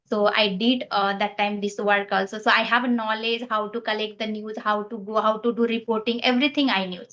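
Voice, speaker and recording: A woman whose first language is Nepali, speaking hesitant, broken English in a casual interview, often pausing with "uh". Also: medium pitch, fairly even intonation, fairly bright tone, lo-fi sound. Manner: hesitant, frequent "uh", simple broken grammar, shy laughs. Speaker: interview guest, homemaker and small YouTuber. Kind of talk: casual chat in learner English.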